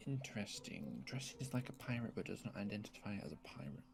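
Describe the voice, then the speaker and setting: A man's voice: fairly low pitch, big pitch swings, fairly quick, gravelly, exaggerated voice. A man playing a teasing, nosy amateur psychiatrist in an improvised game roleplay, dry and mock-formal in his questioning. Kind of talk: improvised Minecraft zombie roleplay. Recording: background noise.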